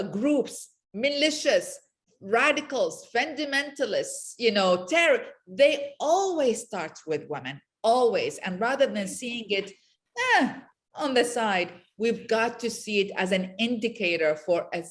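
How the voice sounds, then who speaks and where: A woman from Iraq answers as an interview guest at a very slow, deliberate pace. She is passionate and blunt, leaning hard on words and repeating them for emphasis. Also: fairly high pitch. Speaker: interview guest, women's rights advocate.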